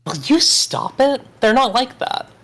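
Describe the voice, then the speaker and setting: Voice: high-pitched, very animated, measured pace, full character voice. A reader voicing a hopeful newlywed princess at a table read, coaxing and persuasive. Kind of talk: table read of a film script.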